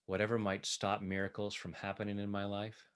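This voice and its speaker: A man with a low-pitched voice leads guided affirmations as a coach. He speaks deliberately, setting out one short phrase at a time so that each can be repeated. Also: monotone, clear, resonant tone. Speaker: tapping coach leading affirmations.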